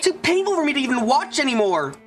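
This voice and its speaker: A woman's voice: high-pitched, big pitch swings, fast talker, over music. A woman playing a skeptical, bitter older girl with a pressing, reproachful manner in a visual novel fan dub.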